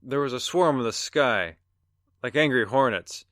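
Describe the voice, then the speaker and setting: An actor playing an arrogant, rich attorney in an audio drama, brash and scornful in manner. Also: male voice, medium pitch, lively intonation, moderate pace, a bit bright, no echo, studio quality, natural voice. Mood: blustering, smug. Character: arrogant, rich, sneering attorney, blustering rival.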